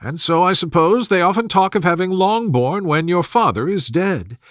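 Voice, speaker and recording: A resentful, gossipy middle-aged mother from a classic storybook, played by a man. The voice is pitched high and swings about in exclamations and complaints. It is recorded close and dry. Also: lively intonation, normal speed, no room sound. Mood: resentful, sniping.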